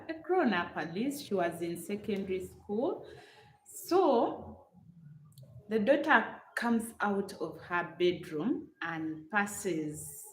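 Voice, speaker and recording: A middle-aged woman with a Ugandan accent talks at an unhurried, chatty pace, repeating herself and speaking straight to her viewers. She is recorded in a room with a noticeable echo and a faint hiss underneath. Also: mid-range voice. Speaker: vlogger. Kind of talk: live-stream storytelling with chat replies.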